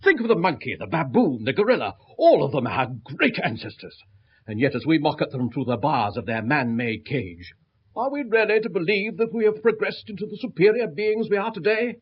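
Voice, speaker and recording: An actor playing an eccentric, earnest professor of folklore with an English accent, lecturing emphatically in a 1970s British radio drama. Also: male voice, on the high side, big pitch swings, brisk, slightly rough. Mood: declaiming. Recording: dull, band-limited old radio recording.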